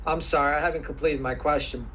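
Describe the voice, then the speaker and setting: A man questioning a witness as an attorney, formal and persistent, repeating questions deliberately. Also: on the high side, fairly even intonation, a bit bright, a little hiss or hum. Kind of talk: formal deposition cross-questioning.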